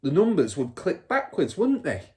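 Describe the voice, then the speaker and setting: A man's voice: on the high side, wildly swooping pitch, moderate pace, warm tone, pitched above the performer's own voice. A man voicing a boastful, crooked middle-aged car dealer and rude father in a storybook, bragging and scornful with heavy emphasis. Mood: animated bragging.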